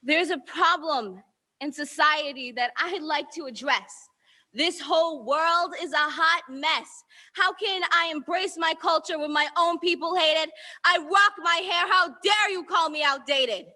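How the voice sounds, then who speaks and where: Teenage girl, spoken word poet, rhythmic rhyming delivery, high voice, bright tone. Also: measured pace. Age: teens. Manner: rhythmic rhyming recitation, defiant at times. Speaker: student poet. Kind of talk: spoken word poetry performance.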